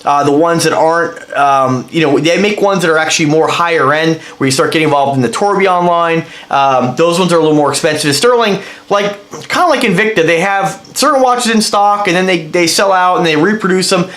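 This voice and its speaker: A high-voiced man sounds stuffed up from a cold as he chats through a casual review, rambling and eager while he explains what he's holding. His voice bounces around a noticeably echoey room. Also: brisk, hardly any pauses, fairly bright tone. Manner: rambling, enthusiastic, explains as he handles the item. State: congested with a cold. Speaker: watch reviewer.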